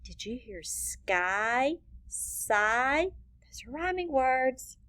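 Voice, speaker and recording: Lady reading a kids book out loud, kinda high voice, really slow, teacher-like, chats to the listeners. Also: big pitch swings. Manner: deliberate teacherly phrasing with pauses and dropped asides to listeners. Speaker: children's read-aloud reader pointing out rhyming words. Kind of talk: expressive picture-book storytelling for young children.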